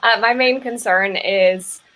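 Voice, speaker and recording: Woman, mid-range, earnest and careful, slightly halting, personal remarks. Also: medium pitch, fairly bright tone. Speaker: actor in an online play reading.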